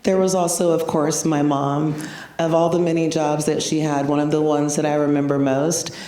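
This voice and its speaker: A woman from the United States opens a panel in a low, steady, mellow voice. She speaks deliberately. The sound is roomy and a bit distant from the mic. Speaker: moderator. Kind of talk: prepared panel introduction.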